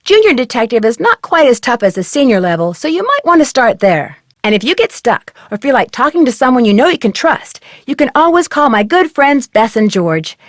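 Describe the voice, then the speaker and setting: A woman's voice: high voice, big pitch swings, brisk, few pauses, no room sound, clean studio sound. A woman playing an eager, polite young amateur detective in an adventure game, with a perky, inquisitive, scripted delivery. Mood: cheerful, instructive. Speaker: game protagonist and tutorial guide.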